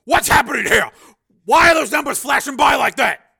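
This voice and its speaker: Man voicing a shouting, enraged major. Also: extremely high pitch, expressive, moderate pace, creaky, slightly rough, pitched above the performer's own voice, full character voice. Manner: shouting, accusing. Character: enraged, bullying male army major.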